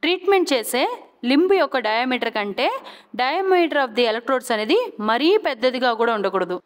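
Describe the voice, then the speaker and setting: High-pitched Telugu Indian woman lecturing. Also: animated. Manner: deliberate, point-by-point teaching, switching into English terms. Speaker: physiotherapy instructor. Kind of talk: scripted educational lecture. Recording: long hall-like reverb.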